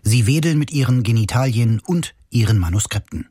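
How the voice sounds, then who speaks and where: A man reporting as narrator of a scripted radio feature, with measured, even narration. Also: medium pitch, breathy edge, no room sound, clean studio sound.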